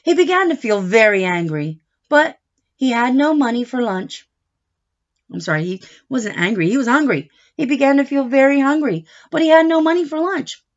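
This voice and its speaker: A woman giving a read-aloud lesson for young children as a teacher, speaking in short deliberate phrases and asking questions as she goes. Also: high-pitched. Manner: instructive, asking questions and answering them; deliberate short phrases, expressive when reading. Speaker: teacher reading a picture book aloud. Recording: dull, lo-fi sound.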